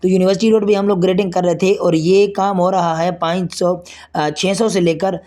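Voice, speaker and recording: A hoarse man with a Pakistani Urdu accent, a construction site engineer walking an outdoor road works and explaining each step to his vlog viewers. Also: high voice, flat delivery, fairly quick, dark tone. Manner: explanatory, pointing things out step by step.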